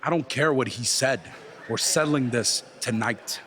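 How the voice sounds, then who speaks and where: A man's voice: medium pitch, unhurried, a bit bright, lightly acted. Wrestling game heel, played by a man: mocking, belligerent, blunt, middle register. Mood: menacing.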